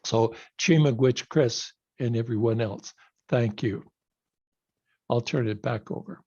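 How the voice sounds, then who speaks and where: Husky older man on a lo-fi call. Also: medium pitch, darkish tone. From Canada. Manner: earnest, measured storytelling. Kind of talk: personal speech on a video call. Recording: dull lo-fi video call audio.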